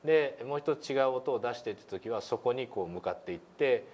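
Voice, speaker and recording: A man's voice: mid-range voice, lively intonation, brisk, a little mellow. A middle-aged man instructing politely in a meeting room, with many hesitations as he explains. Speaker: pronunciation instructor demonstrating an app. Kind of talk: explanatory lesson with polite questions.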